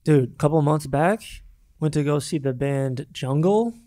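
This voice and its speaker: Easygoing higher-voiced male podcast co-host. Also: normal speed, dark tone. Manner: laid-back, slangy, enthusiastic. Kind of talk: casual chat. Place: podcast studio.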